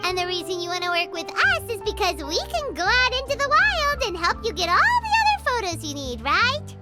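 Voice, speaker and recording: A woman voicing a small, excitable, outspoken fairy companion in a video game, with a squeaky childlike voice and an emphatic manner. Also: fairly quick. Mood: knowing. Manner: speaks of self in third person, emphatic. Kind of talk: video game character dialogue. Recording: loud music under the voice. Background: game background music.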